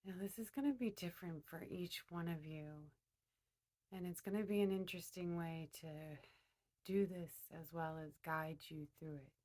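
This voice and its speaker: A woman leading a guided meditation, speaking to her listeners in a deliberate way with pauses in mid-phrase. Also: lowish voice, darkish tone.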